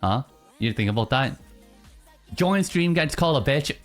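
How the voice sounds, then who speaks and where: A streamer in casual live-stream chatter, teasing chat and answering in mock outrage between low, mumbled musings. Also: animated, normal speed, faint music behind. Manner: reacts to chat, teasing, mock-indignant bursts.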